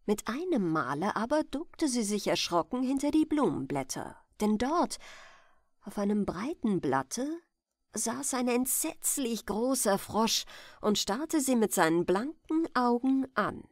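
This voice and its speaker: Woman reading a bedtime story, takes her time, lively melody, dry close sound. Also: fairly high pitch, a little slow, dry recording. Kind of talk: scripted bedtime fairy-tale narration.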